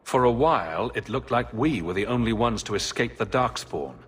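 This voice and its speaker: An actor playing a wary young adventurer in a fantasy video game, dry and guarded. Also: male voice, mid-range voice, animated, moderate pace, slightly rough. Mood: grim, resigned. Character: wry, wary young adventurer protecting his family.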